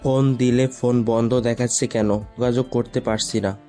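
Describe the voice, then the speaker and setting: Guy as worried old businessman dad in an audio story, dark soft tone, even pitch. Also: moderate pace, soft background music, natural voice. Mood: worried, pleading. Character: worried elderly businessman father. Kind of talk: dramatized audio story acting.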